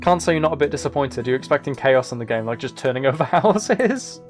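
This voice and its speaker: A man talks casually on a live gaming stream, at a middle pitch. He chats with his viewers and thinks out loud while he plays. Guitar music runs clearly behind his voice. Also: animated, a little fast. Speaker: live streamer playing video games.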